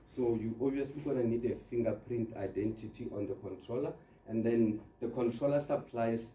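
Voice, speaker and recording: Dark, echoey man giving product training. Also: mid-range voice. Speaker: trainer presenting security products to installers. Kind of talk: informal product training presentation. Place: indoor presentation room with large screen. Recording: dark, echoey, distant room recording with noise.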